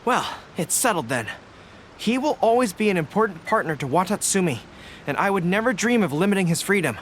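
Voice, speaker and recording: Earnest young general. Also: male voice, high voice, very animated, fairly quick, fairly bright tone, a little hiss or hum. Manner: polite, apologetic, earnest. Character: earnest young male general, loyal and polite. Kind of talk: game voice acting.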